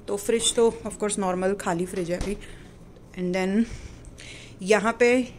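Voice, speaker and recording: A woman's voice: mid-range voice, a little mellow, a little hiss or hum. Chatty woman touring an apartment. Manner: chatty, mixing in English words, pointing things out. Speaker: vlogger. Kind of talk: casual apartment tour. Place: small basement apartment indoors. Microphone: phone camera held while walking.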